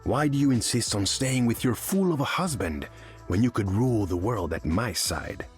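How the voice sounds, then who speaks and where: A man's voice: medium pitch, animated, normal speed, close to an everyday voice. A man voicing a haughty, flirtatious king of the sea for a video game, with pompous, courtly phrasing. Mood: smug, wooing. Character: haughty, flirtatious undersea king, wounded pride. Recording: music behind.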